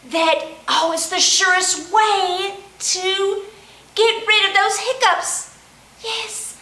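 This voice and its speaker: A woman's voice: very high voice, bright, forceful tone, full character voice. A woman voicing a fretful little penguin with hiccups, sighing dramatically and talking straight to the listeners. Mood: pleading. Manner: dramatic, sighing, talks to the audience. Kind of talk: children's storytime puppet play. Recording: roomy echo.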